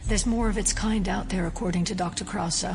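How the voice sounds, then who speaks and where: An actress plays a level-headed female federal investigator. Her medium-pitched voice is measured and serious, sceptical in tone, with a light score underneath. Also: steady tone, vocal fry, a little mellow, faint music behind, close to an everyday voice. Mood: urgent, grave. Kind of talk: audio drama dialogue.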